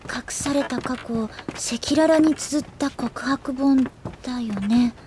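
A woman's voice: high voice, takes it slowly, noisy background. A woman playing a cheerful, gossipy teenage schoolgirl in an anime audio drama. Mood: playful.

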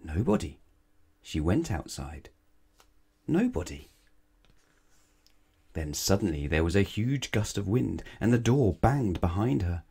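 A man's voice: sits low, very animated, a little slow, gravelly, long pauses, warm tone, slightly rough. A man reading a children's bedtime story aloud, dramatically, stretching and stressing words. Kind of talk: expressive read-aloud bedtime narration.

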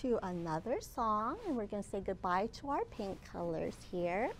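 A woman in her thirties, a children's librarian hosting storytime, reading aloud expressively and deliberately with dramatic emphasis for young children. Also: medium pitch, animated, noisy background. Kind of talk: animated read-aloud of a picture book for young children. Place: open library children's area, indoors.

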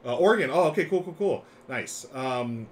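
A man's voice: medium pitch, normal speed. A middle-aged man hosting a board game live stream, chatting casually and enthusiastically as he explains games. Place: small room lined with board games. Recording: clear steady background noise.

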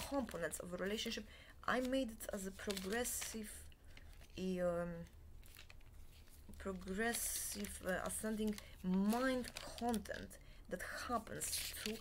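A woman in her forties, a Polish native speaker, giving a halting, half-whispered talk into a laptop webcam in a small bed-and-breakfast room. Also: medium pitch, noisy background. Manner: halting, many filler pauses, often half-whispered. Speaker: self-help lecturer. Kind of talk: slow unscripted monologue to webcam.

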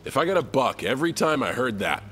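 An actor playing a crude, sarcastic costumed vigilante in a fighting game, irreverent and flippant. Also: male voice, medium pitch, very animated, fast, lightly acted. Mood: mocking.

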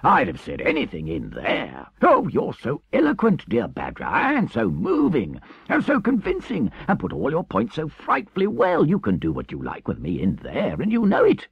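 An impudent, vain toad, pitched far above the reader's own voice. He gushes theatrically and swoops wildly, an excitable and unrepentant storybook creature. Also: moderate pace, vocal fry, slightly rough, dry recording, dull audio, exaggerated voice. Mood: impatient, gushing.

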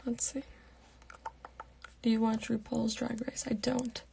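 A young woman in her late teens reading out fan comments and answering them in a soft, half-whispered voice, on a phone held at arm's length in her bedroom at night. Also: a little high, little pitch movement, unhurried, creaky, slight background noise. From Pittsburgh, United States. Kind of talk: casual live Q&A chat.

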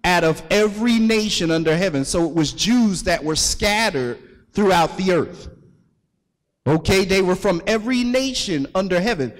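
A man delivers a sermon with a notably high voice, pausing to have scripture read aloud and echoing the lines back to the gathering. The sound carries a long reverb from a large hall. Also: expressive. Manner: calls for readings and repeats the verses back to the congregation. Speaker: preacher.

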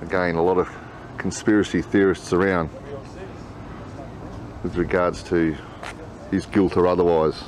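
A man's voice: deep voice, little pitch movement, measured pace, pauses often. A middle-aged man narrating his travels casually to a camera held at arm's length outdoors. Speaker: travel vlogger. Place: outdoors by motel and city streets. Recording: noisy outdoor camcorder audio, street sounds.

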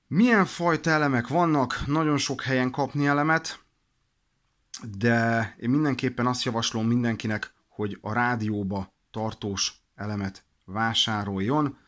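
A man's voice: mid-range voice, a bit bright. A man explaining products in an informal instructional way, practical and a little hesitant in his delivery. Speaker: product demonstrator for a radio shop.

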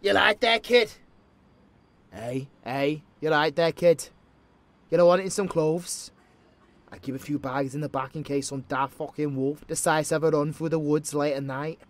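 A kindly old British barkeep played by a man chats bluntly in a fairly high male voice. He is gruff and sweary but welcoming, as in a casual audio drama. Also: normal speed, lightly acted. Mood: brisk, teasing. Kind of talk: casual in-character audio roleplay.

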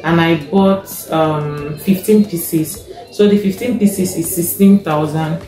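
A young Nigerian woman with a Nigerian accent, casually showing her grocery haul, taking her time, checking a receipt and often pausing with fillers. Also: on the low side, fairly even intonation, dark tone. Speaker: vlogger. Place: home kitchen, indoors. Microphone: fixed camera in front. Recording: echoey kitchen, faint music.

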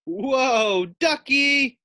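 Storybook guinea pig hero, played by a man: excitable, full of bravado, emphatic, swooping pitch, drawn-out delivery. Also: no echo, studio quality, exaggerated voice. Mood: alarmed, indignant. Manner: emphatic, dramatic exclamations, drawn-out words. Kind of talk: children's storybook read-aloud character.

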